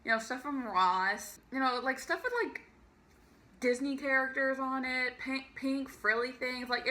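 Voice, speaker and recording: A young woman chats to camera with a peppy, upbeat energy, filling her story with "like" and quick laughs. Her voice is slightly high and very bright. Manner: chatty, laughs often, lots of fillers; peppy raised voice over a lower natural one. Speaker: vlogger. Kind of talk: casual storytime monologue.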